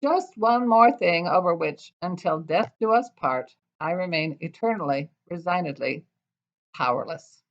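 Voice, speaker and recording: Older woman, American accent, slightly low voice, poetry reading, expressive deliberate phrasing, dull lo-fi recording. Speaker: poet. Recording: dull, lo-fi sound.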